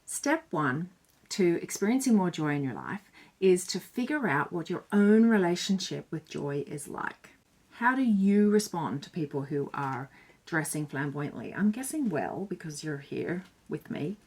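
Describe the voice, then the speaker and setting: A woman's voice: fairly low pitch, lively intonation, measured pace, a little mellow, breathy edge. A woman speaking to camera in an earnest, chatty and reflective way, sharing tips with a few fillers. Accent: none Irish. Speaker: self-styled joy bringer.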